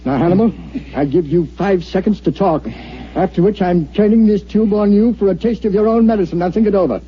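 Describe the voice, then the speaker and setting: An actor playing a brash, commanding newspaper editor who talks in punchy orders, in 1950s radio drama style. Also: male voice, sits high, a little fast, a little hiss or hum, lightly acted. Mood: threatening. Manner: bossy, punchy, wisecracking. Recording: muffled old-time radio recording.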